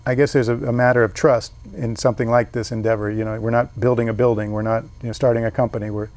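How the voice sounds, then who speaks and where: A man's voice: mid-range voice, fairly even intonation, quick pace, a little mellow, dry recording. A man, a painter being interviewed, talking things through thoughtfully with frequent restarts. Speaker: painter and guest. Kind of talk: casual interview.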